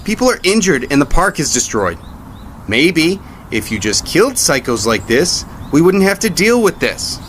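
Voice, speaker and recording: A man's voice: a little high, sing-song, moderate pace, fairly bright tone, a little hiss or hum. A man playing an angry bystander in an audio drama, accusing and bitterly sarcastic.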